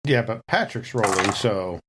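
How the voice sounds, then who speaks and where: A man's voice: lowish voice, measured pace, noisy background. A man playing in a tabletop game, talking casually with the others at the table.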